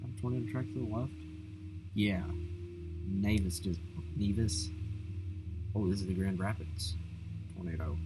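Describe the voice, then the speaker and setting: A man's voice: on the low side, moderate pace, long pauses. A man giving a casual, live running reaction as the host of a reaction video, with noise behind him.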